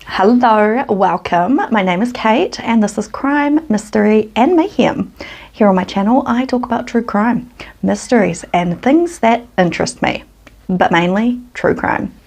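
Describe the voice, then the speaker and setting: A woman's voice: medium pitch, a little slow, darkish tone. A woman from New Zealand with a New Zealand accent, hosting her true crime channel and telling the story in a casual, earnest way.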